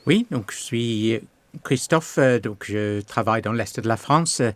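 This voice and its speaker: A man in his sixties with an English accent, a pastor giving his testimony in a slow, hesitant way with many pauses. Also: medium pitch, no echo. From England. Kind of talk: testimony interview.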